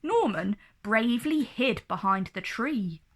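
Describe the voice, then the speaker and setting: A woman narrates a children's storybook in a fairly high voice. She takes her time over it, reading very deliberately and with dramatic expression. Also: animated, fairly bright tone.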